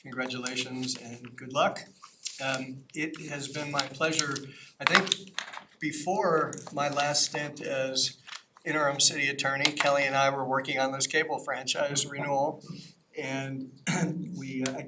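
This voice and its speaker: A man working as an outside attorney, giving a plain, unhurried legal briefing to a city council. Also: mid-range voice, steady tone. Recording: noisy council meeting audio.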